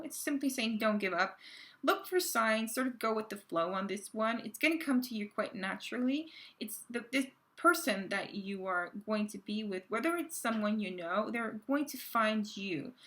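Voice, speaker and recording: Gravelly woman reading tarot cards. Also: on the high side, pushed and bright. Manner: chatty, halting, many fillers and restarts. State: slightly raspy from a cold. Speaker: tarot card reader. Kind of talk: casual tarot reading to camera.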